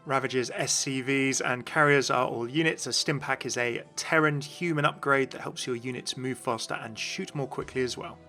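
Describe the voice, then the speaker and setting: A man's voice: medium pitch, moderate pace, hardly any pauses, sharp tone, faint music behind. A man narrating a video as a game commentator, explaining things in an informal way.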